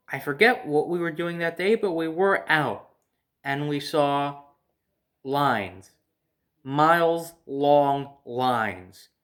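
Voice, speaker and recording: American guy, kinda higher voice, just rambling through a personal story casually. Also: pushed and bright.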